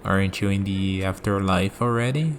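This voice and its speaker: A man playing a calm, curious villain in a scripted ASMR roleplay, musing and dryly teasing as he talks. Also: low voice, moderate pace, a little hiss or hum. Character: calm, curious young male villain bargaining with a death god.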